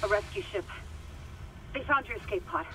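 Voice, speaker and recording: A woman's voice: medium pitch, lively intonation, moderate pace, bright, forceful tone, poor audio. An actress playing an urgent young woman technician in a dramatic science fiction game.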